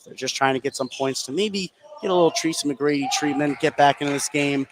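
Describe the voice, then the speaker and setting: A man doing live play-by-play basketball commentary for radio from a high school gym. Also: brisk, noisy background. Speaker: play-by-play sports announcer.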